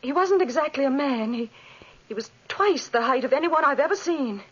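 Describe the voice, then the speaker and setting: An actress playing a frightened, sincere young wife, halting and breathless, in 1940s radio drama style. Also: female voice, sits high, expressive. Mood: shaken. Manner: breathless, halting, repeats words. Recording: muffled 1940s radio recording.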